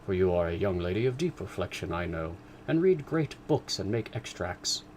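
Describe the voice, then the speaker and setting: A sardonic older father who teases his family with perfect composure, as a male reader plays him. The voice sits low and level, close to the reader's own, with a dry ironic edge. It suits a storybook reading. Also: sing-song, normal speed, darkish tone, slight background noise. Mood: dry teasing.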